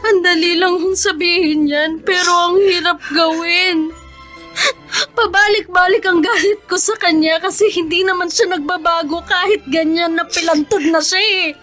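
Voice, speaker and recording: A high-pitched, bitter Filipina daughter. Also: female voice, steady tone, unhurried, a bit bright, soft background music. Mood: tearful anger. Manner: bitter, tearful, emotional delivery. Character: resentful grown daughter of an abusive father. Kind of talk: Filipino radio drama acting.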